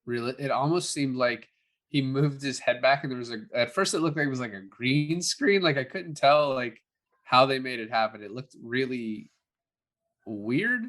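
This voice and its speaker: A man with a notably bright, crisp-edged voice talks casually as a podcast co-host reviewing films. His delivery is loose and conversational, with thoughts that hedge and trail off. His pitch sits in an average male range. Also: normal speed. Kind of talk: casual film review chat.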